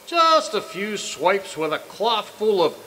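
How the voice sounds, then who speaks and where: An actor playing a jaunty, folksy old-timer who jokes in slangy talk in an old-style radio comedy. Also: male voice, high voice, big pitch swings, pushed and bright, a little hiss or hum, full character voice. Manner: folksy, slangy, drawn-out punchlines. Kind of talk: 1940s radio comedy acting, live re-creation.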